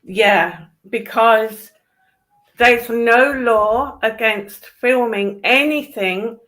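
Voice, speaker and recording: A woman's voice: a little high, drawn-out words, fairly bright tone, low-quality recording. A woman with a British accent giving blunt, opinionated commentary in a casual conversation.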